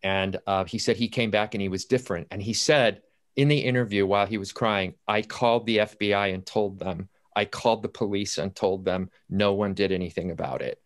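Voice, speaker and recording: Man, mature, low voice, playwright on an online panel, storytelling and quoting himself as he goes. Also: steady tone, a little fast. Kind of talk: anecdotal panel talk about writing. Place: indoors at home, on a video call. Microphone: computer video-call audio.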